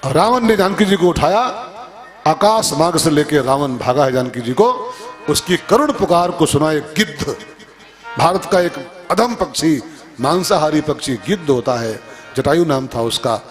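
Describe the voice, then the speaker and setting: A man delivers a religious discourse in a fairly high voice over faint music and tabla. He teaches like a storyteller, stressing points by repetition. Rhetorical questions punctuate his emphatic delivery. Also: expressive, measured pace. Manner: emphatic, repeats phrases, asks rhetorical questions.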